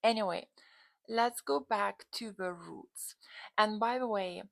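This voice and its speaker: A woman's voice: medium pitch, takes it slowly, creaky, no echo. A woman from Lithuania with a Lithuanian accent, talking casually to camera as a coach in an emphatic, conversational way. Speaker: dating and relationship coach, channel host.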